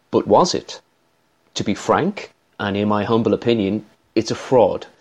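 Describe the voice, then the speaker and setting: Irish man, low-pitched, formal and measured, analyst reading a prepared commentary. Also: darkish tone.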